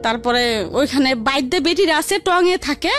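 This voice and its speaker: A woman's voice: high voice, expressive, normal speed, fairly bright tone, soft background music. A woman telling her life story in a rambling, repetitive, conversational way. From Barisal region, Bangladesh. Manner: rambling, repetitive, colloquial narration.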